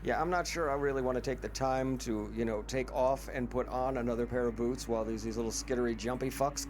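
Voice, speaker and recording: A man's voice: mid-range voice, fairly even intonation, fast talker, noisy background. A man playing a tabletop roleplaying game, chatting casually in character in a dry, wry manner. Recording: background music.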